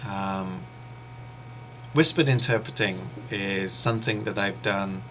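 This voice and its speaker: A man whose first language is English, teaching an interpreting course in a steady, explanatory way with pauses and fillers. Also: sits low, slight background noise. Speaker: interpreting instructor. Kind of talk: explanatory online lecture.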